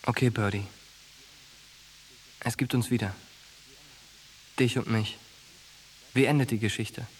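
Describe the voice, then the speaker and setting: Ein Schauspieler in einem Hörspiel spielt einen derben, eindringlichen jungen Soldaten und treuen Freund mit genervtem Temperament. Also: male voice, mid-range voice, steady tone, slow, long pauses, a little hiss or hum, lightly acted, low-quality recording. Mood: resigned. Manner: blunt, coarse, insistent. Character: streetwise, exasperated young soldier, loyal friend. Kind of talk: naturalistic German radio drama acting.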